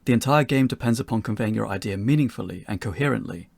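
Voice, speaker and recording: A man's voice: lowish voice, fast, vocal fry, darkish tone, husky, no echo. A man co-presenting a scripted, pre-recorded instructional talk as an amateur developer.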